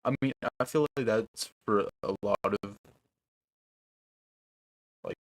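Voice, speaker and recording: A man's voice: fairly low pitch, slow, no room sound, poor audio. A young man producing music on a livestream, chatting loosely with his viewers in a rambling, casual way. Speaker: music producer streaming live.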